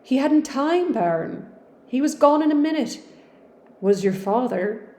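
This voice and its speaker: A woman reading aloud puts on a homely old Yorkshire servant woman, motherly and plain-spoken. She leans hard on the broad dialect, stretching her words out, a little higher and louder than a plain reading voice. The room around her has a roomy echo. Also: animated. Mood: mournful. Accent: Yorkshire, put on. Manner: broad dialect, drawn-out and emphatic.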